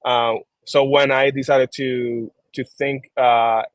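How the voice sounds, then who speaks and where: Soft-spoken man with many fillers. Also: mid-range voice, no room sound. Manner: relaxed, halting, many fillers and restarts; switches between English and Spanish. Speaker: founder and creative director, guest. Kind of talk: casual interview chat. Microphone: video call.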